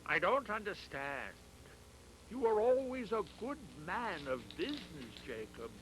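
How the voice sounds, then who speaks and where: A man's voice: high voice, sing-song, a little slow, background noise, pitched above the performer's own voice. A man voicing a frightened, bewildered miser who pleads and questions politely in a storybook read-along.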